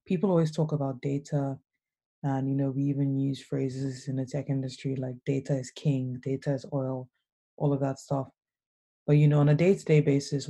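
A woman hosting a remotely recorded podcast interview, talking in a soft, relaxed way. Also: very deep voice, gravelly, breathy edge. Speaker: podcast host. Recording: not studio quality, remote.

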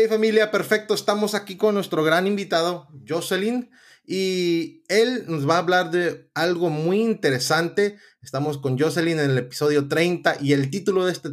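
A man with a Mexican accent hosting a casual podcast interview, chatty and rambling, with many restarts. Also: a little high. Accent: Mexican Spanish. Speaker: podcast host.

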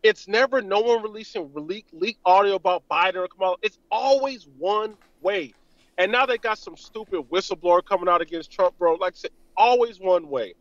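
A man's voice: high voice, a little fast, a bit bright, no echo. A man calling in to a talk show, talking heatedly and tacking bro and man onto his sentences. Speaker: caller. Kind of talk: call-in radio talk. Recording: muffled phone call.

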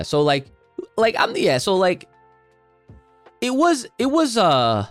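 Rambling man with a lively voice. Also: fairly high pitch. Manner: rambling, lots of fillers and restarts. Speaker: podcast co-host. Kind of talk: casual chat.

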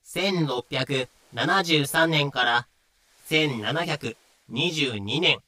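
A man's voice: a little high, very slow, bright, forceful tone, dry recording. A man reading scripted narration for a voice drama.

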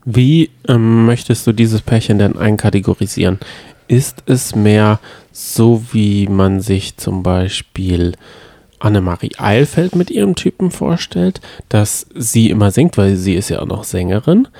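A man's voice: fairly low pitch, a little slow, gravelly, dark tone, no echo. A man co-hosting a podcast, chatting casually about reality TV with opinionated interjections. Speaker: podcast co-host.

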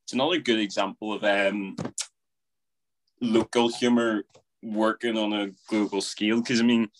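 A man's voice: on the low side, flat delivery, normal speed, a little hiss or hum, poor audio. A young man co-hosting a podcast, talking things through with wry, teasing asides. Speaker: podcast co-host. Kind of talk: casual chat.

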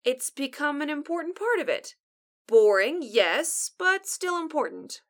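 A woman's voice: high-pitched, very animated, a little slow. A woman playing a bossy, sarcastic apocalypse survivor who co-hosts a radio show with dry exasperation. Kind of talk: scripted comedic audio drama, bantering radio show.

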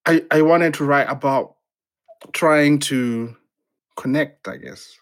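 A man from Zimbabwe, a playwright being interviewed, talking reflectively and haltingly with many restarts and fillers. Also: fairly high pitch. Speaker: playwright guest.

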